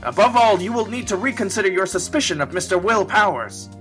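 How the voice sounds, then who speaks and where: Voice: mid-range voice, animated, brisk, a bit bright, faint music behind, lightly acted. A performer playing a pompous, easily shocked male judge in an amateur courtroom game fandub. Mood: official, solemn.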